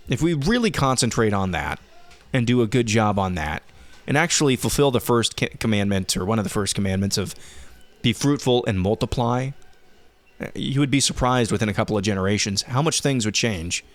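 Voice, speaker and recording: A man in his thirties hosting a casual podcast, answering listener questions in a relaxed way with frequent restarts, his voice going hoarse. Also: lowish voice, normal speed. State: losing his voice. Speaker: podcast host.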